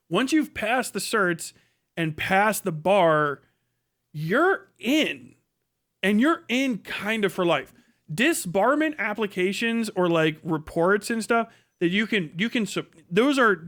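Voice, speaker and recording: A man's voice: high voice, a bit bright. A man giving casual livestream commentary in a blunt, profane, rambling way. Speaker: livestream host.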